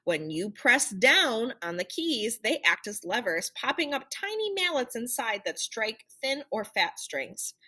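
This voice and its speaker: A woman reading a children's picture book aloud in an animated, theatrical storytelling manner. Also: on the high side, unhurried, few pauses, slightly rough. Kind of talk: expressive children's read-aloud narration.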